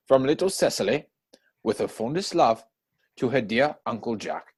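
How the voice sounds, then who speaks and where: A man's voice: medium pitch, animated, normal speed, a little mellow, breathy edge. A man playing a witty, idle young dandy who pries playfully in arch, formally phrased banter, in a dramatic reading of a Victorian stage comedy. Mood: teasing, accusing. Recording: home recording over video call.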